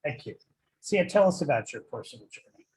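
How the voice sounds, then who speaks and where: Man, panel moderator, very husky voice, lively swinging pitch, halting questions, video call. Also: rough recording. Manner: halting, restarts his questions.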